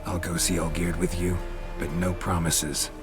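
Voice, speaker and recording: An actor playing a gruff, laconic monster hunter in a fantasy game, clipped, dry and sardonic. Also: male voice, deep voice, level tone, normal speed, over music, lightly acted. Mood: dry, wary. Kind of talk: fantasy video game dialogue.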